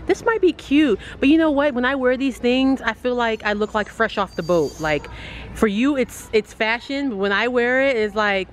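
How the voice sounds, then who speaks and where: A woman in her thirties, loud and joking while thrift shopping, with store music around her. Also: fairly high pitch, animated, normal speed. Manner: loud, joking, laughs often. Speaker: co-host. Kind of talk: casual thrift-shopping chat. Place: large thrift store, indoors. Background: store music, ambient noise.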